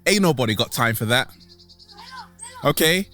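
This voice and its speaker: A man hosting a vlog as a comedic monologue to camera, theatrical, with dramatic pauses and repeated catchphrases. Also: medium pitch, lively intonation, pushed and bright, soft background music. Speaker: vlog host.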